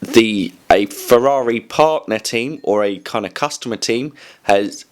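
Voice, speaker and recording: A man hosting a weekly motorsport news show, croaky from a cold, rambling casually with lots of ums and restarts. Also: mid-range voice, unhurried. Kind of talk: casual news commentary to camera.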